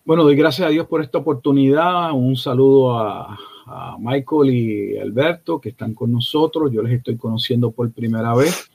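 A man, a pastor answering interview questions in a measured way, stringing phrases in series. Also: mid-range voice, vocal fry, darkish tone, breathy edge. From Puerto Rico. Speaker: pastor, guest.

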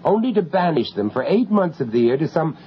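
Male narrator-lead for radio horror: cultured, uneasy foreign art dealer, hesitant, very lively intonation, muffled vintage sound. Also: a little high, brisk. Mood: genial, chatty. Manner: hesitant, reflective, with chuckles in dialogue. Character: urbane, uneasy foreign art gallery owner in London, recounting horror. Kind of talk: first-person radio drama narration. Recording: muffled, noisy old radio drama recording.

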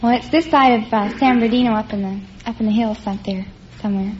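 A woman's voice: on the high side, quick pace, a little hiss or hum. A nineteen-year-old woman answering a quiz show host's questions, shy and giggly, with short replies. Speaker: contestant. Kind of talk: radio quiz show interview. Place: radio studio before a live audience. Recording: old lo-fi radio broadcast.

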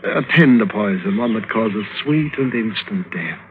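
An actor playing a cruel, silky young despot prince in theatrical, commanding old radio drama style. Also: male voice, fairly low pitch, expressive, a little slow. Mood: coldly scheming. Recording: tinny, hissy old radio broadcast recording.

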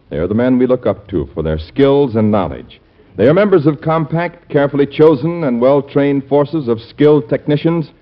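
A man reading a scripted recruiting announcement in an earnest, emphatic 1940s radio announcer style. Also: mid-range voice. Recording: muffled old radio recording.

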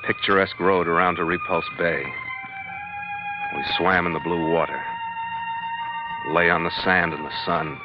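An actor playing a tough, romantic sea captain in a 1940s radio adventure, speaking in a terse, wry way. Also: male voice, sits low, close to an everyday voice. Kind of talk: 1940s radio adventure acting and first-person narration. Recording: muffled old radio recording with music.

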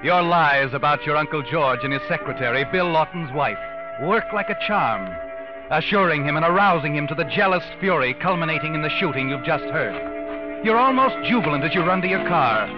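An actor playing a sardonic, ominous narrator who taunts a schemer in a 1940s radio suspense drama. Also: male voice, a little high, lively intonation, a little fast, close to an everyday voice. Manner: dramatic, knowing, mocking. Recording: muffled old radio recording with music.

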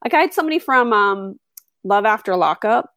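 A middle-aged woman, a podcast host, telling stories in a chatty, animated way with lots of fillers. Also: medium pitch, a little fast, dry recording, studio quality. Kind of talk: conversational interview.

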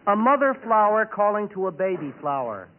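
A man's voice: high voice, very animated, a little fast, phone-quality sound. An actor playing an eager, boastful oddball sound-effects man in a theatrical 1940s radio comedy style. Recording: band-limited old radio broadcast.

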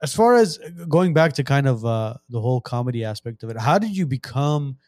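A man's voice: medium pitch, big pitch swings, a little fast, darkish tone, no echo. Chatty man hosting a podcast. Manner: rambling, restarts sentences, easy laughter. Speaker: podcast co-host. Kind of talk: casual podcast chat.

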